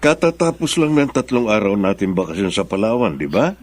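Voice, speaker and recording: Low-voiced placating middle-aged father. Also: sing-song, fairly quick. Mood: cautious, placating. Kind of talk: Filipino radio drama acting.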